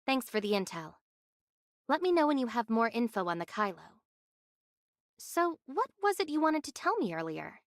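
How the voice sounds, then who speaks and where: Earnest, high-voiced young heroine. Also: female voice, big pitch swings, normal speed, long pauses, no room sound, clean studio sound. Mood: inquisitive. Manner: inquisitive, direct, earnest. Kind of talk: scripted anime game voice acting.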